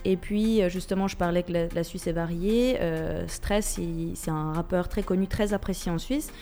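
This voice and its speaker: A woman's voice: on the low side, steady tone, normal speed, mellow, a little hiss or hum. A woman from Switzerland with a Swiss French accent, a radio guest who talks with many hesitations and restarts. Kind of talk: radio interview.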